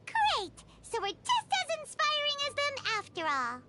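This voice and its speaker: A woman voicing a small, childlike flying companion in a video game, squeaky, bubbly and excitable. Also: measured pace, faint music behind, exaggerated voice. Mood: excited, indignant. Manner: bubbly, exclamatory, speaks of herself in third person. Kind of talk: scripted video game dialogue.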